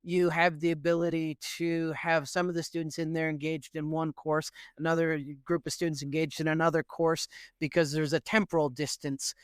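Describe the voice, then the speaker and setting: A middle-aged man from Newfoundland, Canada, answering interview questions as an education professor in an earnest, animated way with frequent fillers. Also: on the high side, normal speed, clean tone, no room sound, studio quality.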